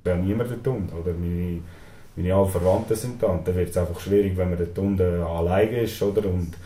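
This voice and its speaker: Young Swiss man with a deep, muffled voice. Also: fairly even intonation, fast, mellow. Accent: Swiss German. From Switzerland. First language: Serbian. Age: 20s. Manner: hesitant, many "äh" fillers and tag "oder?". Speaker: prison inmate interviewee. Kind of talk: interview answers. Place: small storeroom in a prison. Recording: echoey room with faint ambience.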